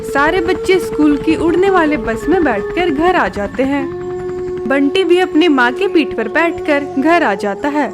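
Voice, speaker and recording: High-pitched woman narrating a children's story.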